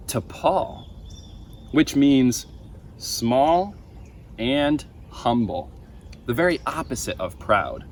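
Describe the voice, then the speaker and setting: A young man reading a children's Bible story aloud in a deliberate, expressive way, with emphatic pauses. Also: medium pitch. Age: young adult. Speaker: storybook reader for a camp story time. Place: outdoors on a wooden deck by a pond. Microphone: camera a few feet away. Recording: outdoor ambient noise.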